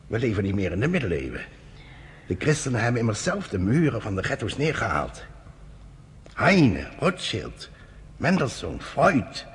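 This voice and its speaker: A man's voice: fairly low pitch, slight background noise. An actor playing a self-assured professor and father who reassures his wife in a lecturing way, in a radio drama.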